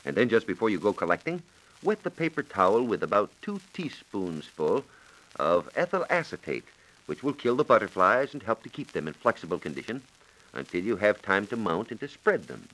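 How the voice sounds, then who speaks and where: An American man giving measured, precise instructions as a science reporter on a scripted 1940s radio program. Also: lowish voice, sing-song, a bit bright, rough recording. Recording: 1940s radio broadcast recording.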